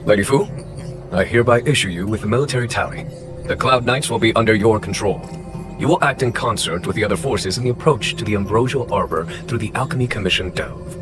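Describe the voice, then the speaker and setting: An actor voicing a calm, wily male general in a video game, unhurried, courteous and wryly formal. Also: lowish voice, close to an everyday voice. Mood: composed, commanding. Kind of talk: scripted video game voice acting. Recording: game music underneath.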